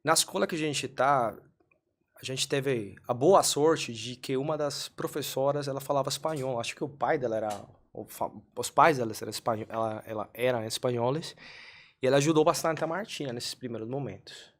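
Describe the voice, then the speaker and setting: A man from Colombia speaks as a podcast guest with a clear Colombian Spanish accent. He talks in an earnest, measured way, at a middle pitch, and pauses now and then to find the right Portuguese word. He is a native Spanish speaker who tells his story at a calm, even pace. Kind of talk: casual interview storytelling.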